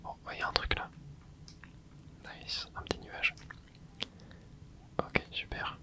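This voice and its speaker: Whispered ASMR gaming commentary. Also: slow. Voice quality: breathy unvoiced whisper. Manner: soft casual running commentary with short interjections. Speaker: gaming ASMR creator narrating own play. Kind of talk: whispered ASMR video game let's play commentary.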